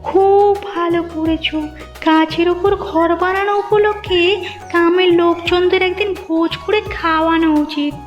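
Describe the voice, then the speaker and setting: A woman's voice: very high-pitched, fairly even intonation, normal speed, muffled recording, faint music behind. A woman voicing a demanding, showy village wife in a Bengali cartoon. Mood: pleased, demanding. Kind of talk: Bengali moral-story cartoon dubbing.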